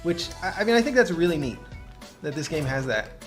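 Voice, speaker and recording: A man's voice: a little high, sing-song, a little fast. A man chatting casually and explaining things as he plays and comments on a video game. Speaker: gaming commentator, co-op player. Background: game music.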